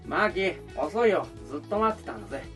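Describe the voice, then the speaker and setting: A man playing a pushy, confident, cheerful young man in a radio drama, speaking in casual, commanding rough masculine language. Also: on the high side, animated, fast talker, fairly bright tone. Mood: pushy, breezy. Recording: distant-sounding voice over music.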